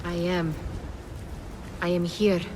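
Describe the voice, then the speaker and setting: A woman's voice: on the low side, flat delivery, slow, background noise. Cryptic, knowing female companion. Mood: cryptic, calm. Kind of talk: dramatic video game acting.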